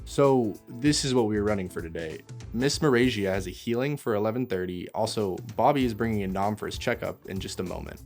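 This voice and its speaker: A man playing a goofy, excitable young half-elf receptionist in an audio fantasy drama, chummy and slangy. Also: lowish voice, a little fast, hardly any pauses, soft background music. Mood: businesslike.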